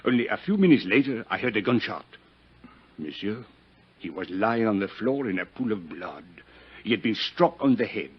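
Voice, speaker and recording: An actor playing a suave, talkative French-accented police inspector in a 1950s radio drama, effusive and courtly. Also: male voice, on the low side, sing-song, moderate pace, husky. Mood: officious, precise. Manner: effusive, courtly, repeats words for emphasis. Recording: muffled, lo-fi vintage radio broadcast.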